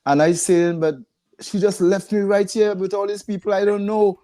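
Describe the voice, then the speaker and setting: A man's voice: high-pitched, level tone, normal speed, dark tone. An older man from Trinidad with a Trinidadian accent, telling stories in a casual, conversational way as an interview guest. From San Fernando, south Trinidad. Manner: chuckles often, conversational reminiscing. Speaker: podcast guest, retired rehabilitation director.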